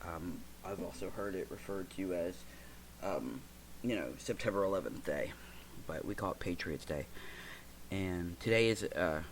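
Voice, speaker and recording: A man in his forties from the United States, hosting a reflective personal talk, stuffy and congested, speaking haltingly with many fillers and restarts. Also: low voice, expressive, a little hiss or hum, low-quality recording. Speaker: podcast host.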